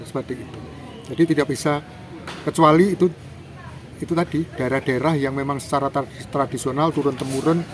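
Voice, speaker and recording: A man from Java, an interview guest explaining culture in a lecturing, emphatic way. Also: slight background noise. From Java, Indonesia. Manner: lecturing, emphatic, lively rises in pitch for examples.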